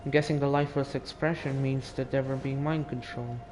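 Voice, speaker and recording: A man's voice: mid-range voice, steady tone, fairly quick, over music. A teenage boy giving casual, muttering, sweary commentary while playing a video game. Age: teens. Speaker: gamer commenting on gameplay. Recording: noisy home gaming recording.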